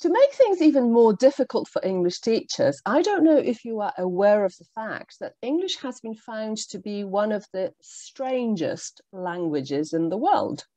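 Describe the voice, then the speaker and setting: A woman whose first language is Polish, presenting a workshop for language teachers in a measured, explanatory way with frequent ums. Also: mid-range voice, big pitch swings, warm tone, no echo. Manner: measured, explanatory, with pauses and fillers. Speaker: teacher trainer.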